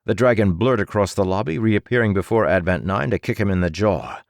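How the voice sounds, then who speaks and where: A man's voice: lowish voice, fairly quick, gravelly, no echo, studio quality. A man reading an action story as an audiobook narrator in a dramatic, scripted style.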